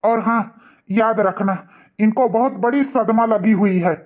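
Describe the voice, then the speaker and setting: A man playing a formal, reassuring hospital doctor who lectures gravely in a cartoon. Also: high voice, measured pace, darkish tone. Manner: formal, repetitive, cautioning. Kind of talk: cartoon dialogue.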